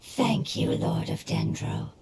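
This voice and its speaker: A woman voicing a solemn, reverent spirit in a video game, with a breathy, whispery voice and formal delivery. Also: slow, a little hiss or hum, close to an everyday voice. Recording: very loud music over the voice.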